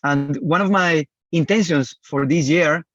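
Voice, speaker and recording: A man who runs a language business, speaking on a video call in a friendly, measured way. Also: fairly high pitch, fairly bright tone, lo-fi sound.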